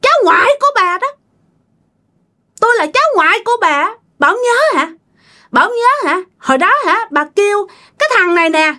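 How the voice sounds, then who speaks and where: A woman voicing a vengeful, accusing ghost in a thin, shrill voice with a Southern Vietnamese accent, telling a ghost story. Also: lively intonation, brisk, long pauses, pushed and bright, full character voice. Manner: accusing, curt, repetitive questioning. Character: vengeful ghost of a murdered grandchild possessing a man.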